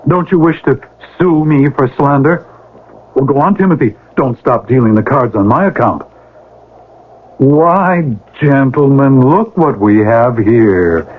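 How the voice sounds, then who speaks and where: An actor playing an earnest, righteous older brother, theatrical and formal in an old-time radio drama. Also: male voice, medium pitch, animated, measured pace. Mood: pointedly polite. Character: earnest, righteous older brother, a pleading moralist, narrating his past. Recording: noisy, tinny vintage radio recording.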